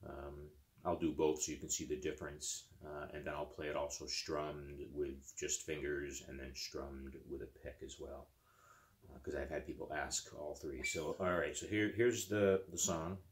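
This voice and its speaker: A man's voice: very deep voice, level tone, moderate pace. A man in his fifties, seated on a living-room couch, talks casually about his guitar while demonstrating it, rambling with fillers and restarts. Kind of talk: casual demo talk. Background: acoustic guitar playing.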